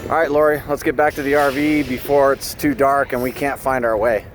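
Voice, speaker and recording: A man's voice: mid-range voice, level tone, fairly quick. A man hosting a casual travel vlog outdoors, cheerful and joking as he points out the sights. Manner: upbeat, joking, tour-guide pointing things out. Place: outdoors at a busy train depot. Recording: noisy crowd and music behind. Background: crowd chatter and music.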